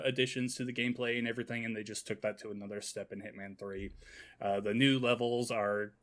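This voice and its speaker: Mid-pitched man in casual gaming chat. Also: a bit bright. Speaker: podcast co-host.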